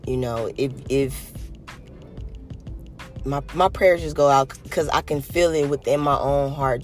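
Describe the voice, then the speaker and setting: A podcast host from California, a little hoarse and raspy today, giving an earnest, rambling motivational monologue full of "you know" fillers. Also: mid-range voice.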